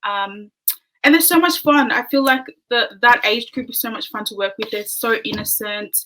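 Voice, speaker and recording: A fairly high, bright-voiced woman answers questions on a video call. She speaks in a friendly, modest way with plenty of "um"s. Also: normal speed, a little hiss or hum, poor audio. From Australia. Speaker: podcast guest, early childhood educator. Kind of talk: casual interview chat.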